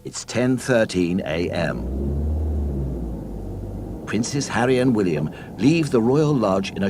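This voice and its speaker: A man narrating a scripted read-along storybook in a deliberate, deadpan way. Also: medium pitch, noisy background.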